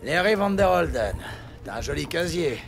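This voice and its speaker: An actor playing a foul-mouthed, streetwise police sergeant, mocking and a little uneasy, in a gritty audio drama. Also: male voice, a little high, sing-song, moderate pace, vocal fry, music in the background. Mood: angry, taunting.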